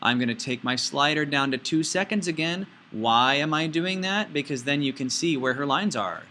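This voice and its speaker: A man teaching an informal step-by-step software tutorial, playfully talking himself through each action out loud. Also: on the high side, normal speed, a little hiss or hum.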